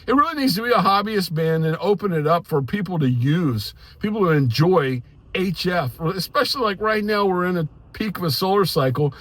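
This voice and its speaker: High-voiced middle-aged American man, informal and emphatic. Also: expressive, brisk, fairly bright tone, no room sound. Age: middle-aged or older. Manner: informal, emphatic, rambling with "you know" and "man". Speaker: ham radio YouTuber. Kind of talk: casual, opinionated hobbyist monologue to viewers.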